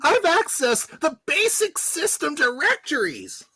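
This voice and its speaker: A woman playing an excitable starship officer in an amateur radio play, with theatrical exclamations. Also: sits high, a little slow, no echo, clean studio sound, exaggerated voice. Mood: excited. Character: excitable starship officer working the computer consoles.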